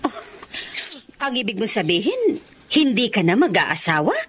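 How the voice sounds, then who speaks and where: A woman's voice: medium pitch, big pitch swings, moderate pace, fairly bright tone, slight background noise. A woman playing a caring, worried middle-aged mother in a radio drama, tender and coaxing, with a Filipino accent. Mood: gentle.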